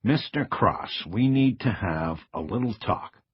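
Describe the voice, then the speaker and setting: A man's voice: lowish voice, animated, unhurried, slightly rough, no echo, lo-fi sound, full character voice. A man playing a menacing federal agent in an audiobook, taunting and threatening in a hard-edged way. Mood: aggressive.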